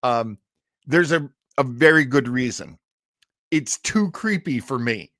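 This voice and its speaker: A man's voice: medium pitch, lively intonation, slow, fairly bright tone, dry recording, clean studio sound. A man hosting an old-time radio show, chatting casually and enthusiastically as he introduces a story in a rambling way.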